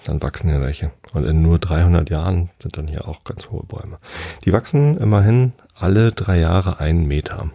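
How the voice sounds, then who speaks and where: A man of forty-five telling a travel story casually, in a relaxed, rambling way and often pausing to search for words. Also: low-pitched, creaky.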